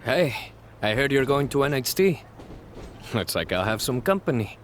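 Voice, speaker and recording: A man's voice: mid-range voice, big pitch swings, moderate pace, lightly acted. A man playing a friendly, loyal young wrestler in a video game, amiable and easygoing. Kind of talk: video game cutscene dialogue. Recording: faint background noise.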